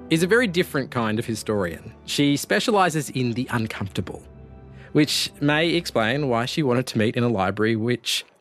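A man's voice: mid-range voice, a bit bright. A man hosting and narrating a documentary in a wry, self-deprecating way. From Australia. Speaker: host and reporter.

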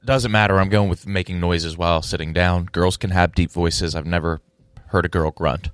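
A man with a very deep voice pushes back bluntly in a podcast chat. The sound is dry and close. He talks plainly and doesn't sugarcoat. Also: steady tone, moderate pace, clean tone, dry recording. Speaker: podcast co-host. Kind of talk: casual group chat.

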